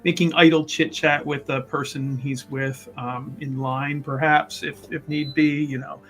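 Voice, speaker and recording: A man with a slightly high voice casually describes his character in a tabletop game. He rambles and hesitates as he makes it up, with faint music behind him. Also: little pitch movement, a little slow, a bit bright. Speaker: player in a tabletop roleplaying game.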